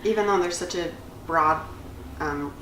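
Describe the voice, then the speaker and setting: Low-voiced woman in her late thirties. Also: measured pace, a bit bright, echoey room, far from the mic, a little hiss or hum, poor audio. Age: 30s. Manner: rambling, filler-heavy. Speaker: cosmetologist and instructor, channel co-host. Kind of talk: casual chat.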